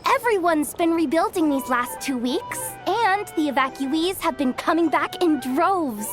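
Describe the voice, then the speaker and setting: A woman's voice: very high-pitched, animated, unhurried. A woman playing a friendly, easygoing but overworked young woman in an anime video game, speaking casually. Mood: relieved. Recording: music behind the voice.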